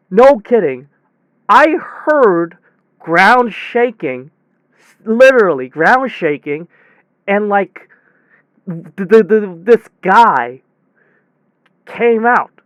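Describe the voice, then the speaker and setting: A man with a high, smooth voice rambles excitedly through a casual story, as if chatting on the phone. He stumbles and repeats himself as he goes. The sound is dry, close and a little dull. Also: dry recording. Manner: rambling, excitable, hesitant repetitions. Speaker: air conditioning technician character telling a story. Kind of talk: acted one-sided phone call, casual storytelling.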